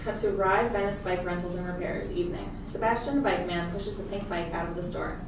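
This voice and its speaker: A woman's voice: on the low side, fairly even intonation, fairly quick. A woman reading screenplay stage directions as scripted narration in a meeting room. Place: meeting room with long glass table, indoors. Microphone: distant camera microphone. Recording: echoey room, distant mic, clear background noise.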